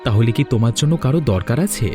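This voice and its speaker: A man voicing a reassuring young husband, mellow. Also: medium pitch, fast talker, soft background music, lightly acted. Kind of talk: cartoon voice acting.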